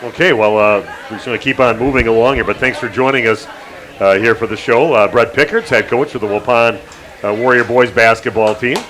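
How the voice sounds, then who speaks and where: A man hosting a local radio sports show, chatting casually with guests, halting with lots of fillers and the odd joke. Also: mid-range voice, a bit bright, a faint crowd. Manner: halting, many fillers, joking. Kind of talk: casual interview chat.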